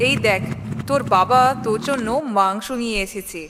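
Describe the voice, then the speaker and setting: A woman's voice: mid-range voice, normal speed, natural voice. A woman playing a caring mother of a grown son in an audio story, tender and fussing. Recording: noisy recording with long hall reverb.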